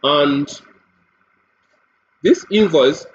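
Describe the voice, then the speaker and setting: A man presents a software demo step by step, deliberately, repeating phrases and posing questions to himself as he goes. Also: a little high, lively intonation. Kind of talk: step-by-step screen tutorial narration. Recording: dull lo-fi mic with clear background noise.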